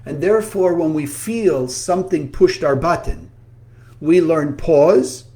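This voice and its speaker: A man giving a spiritual talk as a teacher, earnest and measured, slowly listing virtues. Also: a little high, mellow.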